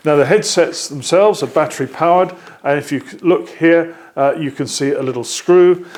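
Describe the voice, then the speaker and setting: A British man hosting a workshop video, explaining a product to camera in a measured, hesitant way with frequent ums. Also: fairly high pitch, a little mellow.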